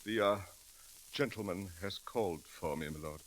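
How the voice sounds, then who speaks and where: An actor playing a proper, deferential English valet in a radio comedy, with a British accent and a hesitant, formal way of speaking. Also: male voice, low-pitched, moderate pace, fairly bright tone. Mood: subdued concern. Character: proper, deferential English valet, stiffly polite and quietly dismayed. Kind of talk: 1940s radio comedy acting. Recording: crackly old radio broadcast recording.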